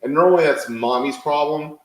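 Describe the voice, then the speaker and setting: A man in his forties co-hosting a fantasy sports show, rambling through animated stories full of "like" fillers. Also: a little high, fairly quick, echoey room, low-quality recording. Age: 40s. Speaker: fantasy sports analyst co-host. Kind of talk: casual chat.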